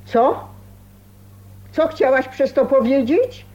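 Fussy, slow-speaking older aunt, high-voiced. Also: female voice, expressive, darkish tone. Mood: indignant. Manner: nagging, fussing, reproachful. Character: fussy, scolding, overbearing older spinster aunt. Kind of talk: Polish radio drama acting. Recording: muffled late-1980s radio drama recording.

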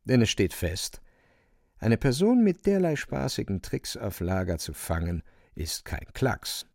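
A man's voice: lowish voice, wildly swooping pitch, a little slow, creaky, a little mellow, no room sound, studio quality. A man narrating a radio play from a script, a wry storyteller with colloquial asides.

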